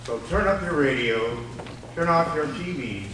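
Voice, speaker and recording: A man's voice: fairly high pitch, expressive, unhurried. A man announcing a live radio play with a scripted introduction in classic broadcast manner. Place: performing arts center stage before an audience. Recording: echoey theater, distant mic, clear noise.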